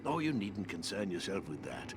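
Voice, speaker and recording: An actor playing a kindly, eccentric old woodland wizard in a fantasy game, chatty and expressive. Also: male voice, low-pitched, normal speed, heavy background noise. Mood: wry, reassuring. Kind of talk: fantasy game character acting. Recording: loud music under dialogue.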